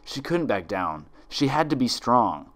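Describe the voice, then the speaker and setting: A man's voice: medium pitch, big pitch swings, a little slow, a bit bright. A man reading scripted fiction aloud as a dramatic story narrator.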